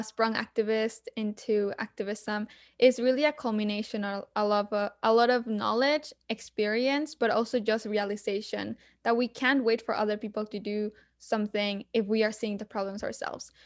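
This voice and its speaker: A young woman from Mexico, answering as a guest activist in an earnest, measured way with frequent pauses and ums. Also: a little high, steady tone, no room sound, clean studio sound. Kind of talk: panel discussion answers.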